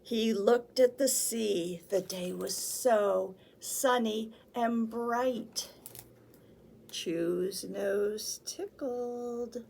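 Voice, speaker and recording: A woman reads and chats as a children's storytime host, with simple, deliberate phrasing. Her pace is slow and her voice has a little creak in it. There is faint noise behind her. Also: on the high side, mellow. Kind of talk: picture-book read-aloud for small children.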